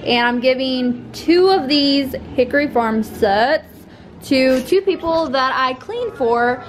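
A young woman filming a casual vlog at home, chatting and rambling with fillers and soft laughs, camera held close to her face. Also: high voice, animated, slow, slight background noise. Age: young adult. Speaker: vlogger. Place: living room at home. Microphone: handheld camera close to face.

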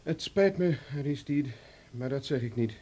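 An actor in a Dutch radio play voicing a terse, stubborn investigator with a curt, sardonic manner. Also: male voice, medium pitch, lively intonation, normal speed, darkish tone, lightly acted. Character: terse, wry, stubborn male investigator under pressure.

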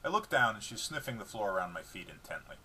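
A man's voice: fairly low pitch, sing-song, fast talker, pushed and bright, a little hiss or hum. A man reading his own book dramatically, moving between narration and dialogue in a wry, explanatory way.

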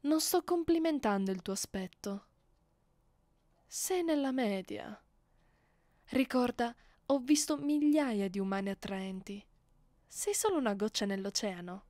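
Haughty, slow-speaking vampire mistress voiced by a woman. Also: on the high side, lively intonation, darkish tone, no room sound, studio quality. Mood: haughty, teasing. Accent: Italian. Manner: slow, condescending, teasing, often dropping into whispers. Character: haughty, seductive female vampire mistress, commanding her captive. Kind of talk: ASMR roleplay, soft close speech. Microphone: close studio microphone.

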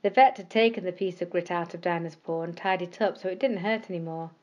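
A woman's voice: lowish voice, fast. Woman storyteller, slightly muffled recording. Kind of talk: scripted narration of children's bedtime stories.

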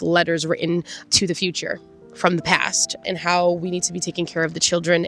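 A woman's voice: fairly low pitch, fairly bright tone. A young woman with a congested voice hosting a faith vlog outdoors in a park, chatting, laughing and praying earnestly into a small handheld mic. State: congested from sinus infection. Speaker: faith vlogger and host. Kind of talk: casual devotional talk and prayer. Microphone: handheld clip-on mic held near mouth.

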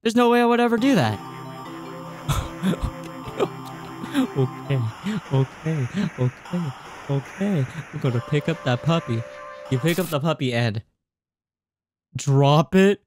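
A man's voice: on the high side, animated, takes it slowly, long pauses. A man in his twenties reading a game's text aloud at a close desk mic, with casual reactions. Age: 20s. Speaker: gaming YouTuber. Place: small indoor room at home. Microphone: desk microphone close up. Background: game music.

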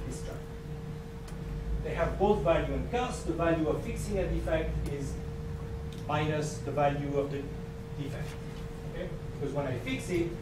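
A middle-aged man, not a native English speaker, giving an animated, joking conference lecture with slides to an audience. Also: on the high side. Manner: animated, gesturing, joking asides. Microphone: distant camera mic. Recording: echoey room, distant, noisy.

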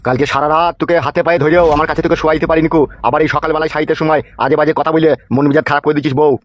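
A man plays a foul-mouthed cartoon husband and dad who talks at breakneck speed in a slightly high voice. He blusters and argues, defensive and exasperated. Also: little pitch movement, racing, highs cut off, close to an everyday voice. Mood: exasperated quarrelling. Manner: blustering, argumentative, swearing. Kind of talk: Bengali comedy cartoon dubbing.

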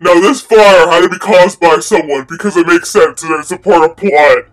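A man's voice: high-pitched, normal speed, a bit bright. A man voicing a bossy, irritable young vampire, curt and exasperated, in an anime parody dub. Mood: irritated.

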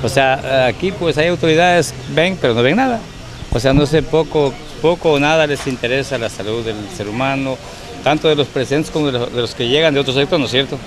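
A man's voice: medium pitch, brisk, poor audio. Indignant man in a crowded street market. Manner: indignant, repeats himself. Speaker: local business owner. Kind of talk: street interview. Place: busy street market outdoors. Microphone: handheld reporter mic. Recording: busy street crowd behind.

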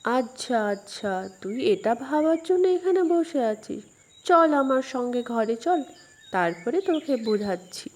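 Patient storybook dad acted by a woman, high-pitched, mellow, instructive. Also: sing-song, unhurried. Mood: patient, instructing. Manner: explaining step by step. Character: patient, instructive father of a young boy.

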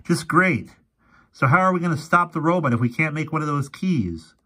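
Excitable teenage boy in a storybook reading, man voicing, husky and eager. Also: on the high side, moderate pace, voice pushed up, heavily acted. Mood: exasperated.

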